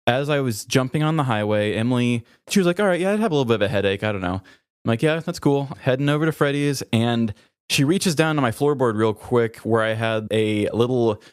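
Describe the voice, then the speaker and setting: A man's voice: medium pitch, animated, fairly quick, smooth, no echo, clean studio sound. A man co-hosting a comedy podcast, telling casual self-deprecating stories and acting out bits of dialogue. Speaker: podcast co-host.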